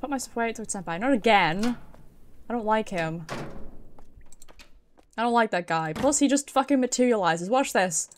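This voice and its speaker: A woman's voice: a little high, animated, normal speed, long pauses, a little hiss or hum. A British woman giving casual, playful, sweary live commentary as she streams a video game. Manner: playful, sweary, chatting to viewers.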